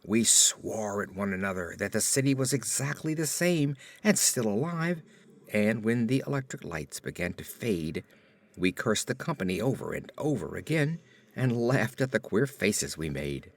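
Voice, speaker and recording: A man reading a horror story as an audiobook narrator, with theatrical, deliberate phrasing. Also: fairly low pitch, sing-song.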